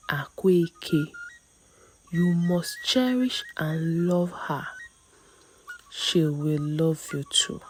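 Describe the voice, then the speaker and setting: A woman's voice: lowish voice, slight background noise, poor audio. Woman voicing a solemn, commanding mermaid river goddess. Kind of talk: folktale storytelling.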